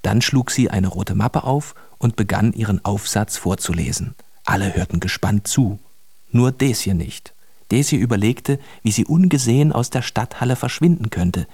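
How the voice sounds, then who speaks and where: A man's voice: fairly low pitch, animated, moderate pace, a little mellow, breathy edge, no echo. A man narrating a children's radio play as a plain storyteller, reading a scripted tale.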